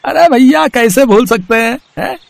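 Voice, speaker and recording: Man acting a jolly village farmer, high pitch, very animated, friendly, storybook drama. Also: moderate pace, gravelly, dry recording, exaggerated voice. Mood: cheerful. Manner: hearty, friendly. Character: cheerful, food-loving village farmer greeting his old friend.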